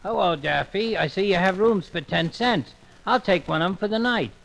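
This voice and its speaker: An actor playing a mild, bumbling man who is fussy and polite and quickly gets exasperated. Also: high voice, animated, gravelly, a bit bright, faint music behind, heavily acted, poor audio. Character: mild bumbling grown man, easily flustered. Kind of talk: children's story record acting.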